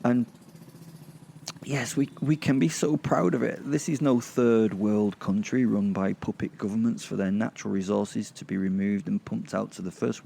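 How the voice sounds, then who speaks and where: A man narrating a horror audio drama in the first person, in character, with sardonic, halting delivery and dramatic pauses. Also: mid-range voice, gravelly, dark tone, a little hiss or hum.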